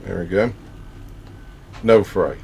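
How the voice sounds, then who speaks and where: Man, very deep voice, slow, mellow tone, muttering how-to talk. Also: creaky, faint music behind. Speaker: boat owner doing repairs. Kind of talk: casual how-to narration.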